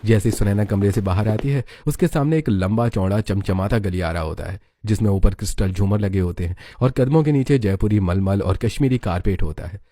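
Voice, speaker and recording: A man's voice: on the low side, a little fast, few pauses, warm tone, no room sound. A man narrating a scripted moral story as its storyteller.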